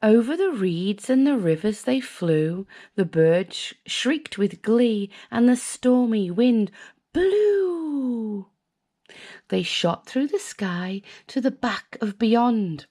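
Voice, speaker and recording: A woman with a British accent reading a children's picture book aloud in an expressive, sing-song way, with asides to young listeners. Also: a little high, slow pace. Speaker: storyteller, children's channel host.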